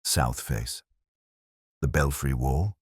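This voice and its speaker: A man's voice: extremely low pitch, slow pace, slightly rough, no echo, clean studio sound, exaggerated voice. A man voicing a plain-spoken, observant police sergeant with an understated, muttering delivery in a mystery story.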